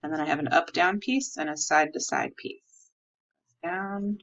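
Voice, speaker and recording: A woman's voice: deep voice, moderate pace, fairly bright tone. A woman art teacher from the United States giving simple, step-by-step craft instructions while demonstrating at a table. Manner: simple, clear step-by-step phrasing. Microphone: recording a tabletop demonstration under an overhead camera. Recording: slightly dull, lo-fi audio.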